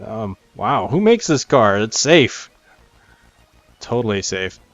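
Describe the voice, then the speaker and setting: A man's voice: on the low side, big pitch swings, slow, dry recording, highs cut off. A man giving casual, unscripted gameplay commentary as he presents a game, rambling and thinking aloud with game music behind him. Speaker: gamer presenting a game preview.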